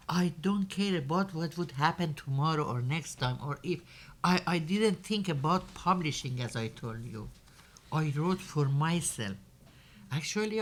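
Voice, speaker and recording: An older woman with a Persian accent, an author speaking reflectively on a panel, often repeating words as she searches for them. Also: deep voice. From Iran. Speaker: guest author. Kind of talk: panel discussion.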